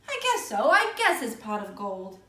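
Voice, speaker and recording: Lady doing excitable little kid in a storybook, high pitch, pleady, echoey. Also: moderate pace, exaggerated voice. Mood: flustered. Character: eager, excitable young girl and boy siblings in storybook. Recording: echoey room, distant microphone.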